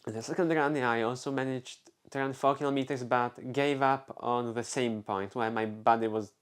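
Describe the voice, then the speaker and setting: A man's voice: mid-range voice, fairly even intonation, normal speed, smooth. A young man from the Czech Republic with a Czech accent, telling a casual personal story on a vlog, rambling, restarting and filling with "like". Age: young adult. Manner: rambling, self-interrupting, many fillers. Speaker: vlogger.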